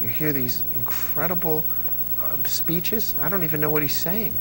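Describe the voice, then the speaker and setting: A man's voice: on the high side, moderate pace, noisy background. A man hosting a casual interview, telling stories with enthusiasm and frequent restarts. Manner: enthusiastic storyteller, restarts often. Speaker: interview host. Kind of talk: casual nostalgic interview chat.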